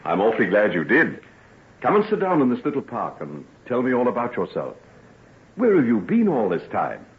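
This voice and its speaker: Gentleman doctor, earnest, formal, persuasive, very lively intonation, phone-like old radio drama. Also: mid-range voice, moderate pace. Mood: pleasantly surprised. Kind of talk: old-time radio acting.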